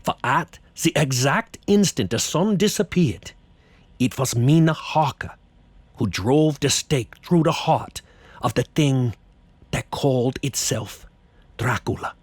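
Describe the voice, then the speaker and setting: An actor playing a grave, commanding vampire hunter in a horror radio drama, husky, deliberate and theatrical. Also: male voice, mid-range voice, animated, no room sound. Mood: solemn, reflective.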